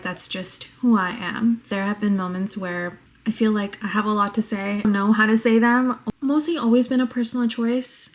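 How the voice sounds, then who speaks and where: A woman in her twenties from New York with a very soft voice, talking reflectively to the camera in a casual vlog at home. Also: medium pitch. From New York, United States. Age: 20s. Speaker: vlogger.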